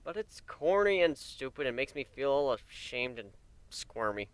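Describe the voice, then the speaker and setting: A man voicing a bashful, gentle male pony husband with a sheepish, hesitant manner in a storybook reading. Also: mid-range voice, big pitch swings, normal speed, smooth, no room sound, close to an everyday voice. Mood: embarrassed.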